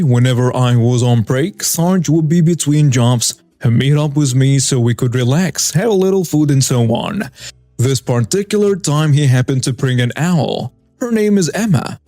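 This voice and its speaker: A man's voice: a little high, dark tone, no room sound. A young man narrating a first-person story in an easygoing, wry tone. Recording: dry close voice over faint music.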